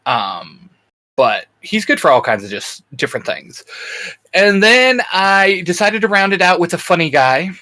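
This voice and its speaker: A man co-hosting a fan discussion in a casual, chatty, animated way, often interrupting himself. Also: high voice, measured pace. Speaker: co-host of a fan discussion show.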